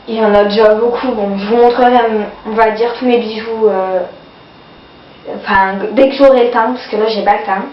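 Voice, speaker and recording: A woman's voice: fairly high pitch, slight background noise. A woman with a cold and a runny nose, chatting casually through a haul of her sale purchases, hesitant and full of fillers. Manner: hesitant, many fillers, keeps saying "voilà". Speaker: vlogger showing sale purchases. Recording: echoey, distant, dull lo-fi home video.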